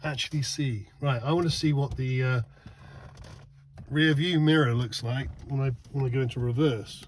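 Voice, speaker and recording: Excitable man chatting outdoors at night. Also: mid-range voice, moderate pace, a little hiss or hum. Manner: excitable, exclaims and laughs, repeats words. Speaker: van owner testing lights. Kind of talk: casual vlog chat.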